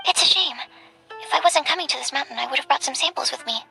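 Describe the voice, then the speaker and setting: An earnest young female scholar in an anime-style game. The voice is high and bright, with polite, formal wording and a timid hesitancy. Faint music runs underneath. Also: fast talker, creaky, heavily acted, poor audio. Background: soft game music.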